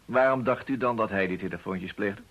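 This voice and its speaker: An actor playing a dry, probing detective in a radio play, musing aloud and asking pointed questions. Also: male voice, on the low side, fairly quick, highs cut off. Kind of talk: Dutch radio play acting.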